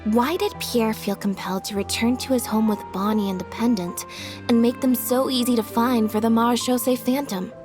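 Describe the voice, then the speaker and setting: A woman playing a composed, sharply logical detective in a video game, explaining in a measured way. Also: on the high side, steady tone, natural voice. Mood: calm deduction. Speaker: detective character. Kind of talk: video game story voice acting. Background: faint music.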